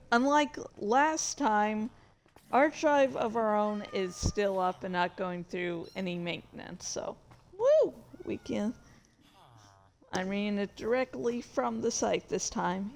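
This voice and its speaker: A woman's voice: medium pitch, creaky. A woman hosting a livestream in casual, scattered chat, easily distracted and swearing freely. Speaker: streamer and host of a dramatic reading series. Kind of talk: casual, rambling livestream chat while playing a game.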